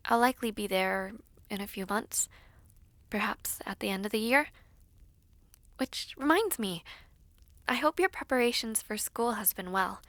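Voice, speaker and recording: A woman playing a devoted young female knight in an audio roleplay, reading letters in a tender, wistful, sometimes breathy manner. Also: on the high side, fairly bright tone, no room sound.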